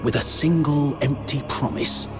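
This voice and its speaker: An actor playing a vengeful, persuasive and menacing demon rebel leader in a video game, speaking in a theatrical, oratorical manner. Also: male voice, mid-range voice, lightly acted. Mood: impassioned, vengeful. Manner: theatrical, oratorical, deliberate emphasis. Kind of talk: dramatic video game voice acting. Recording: music under the voice.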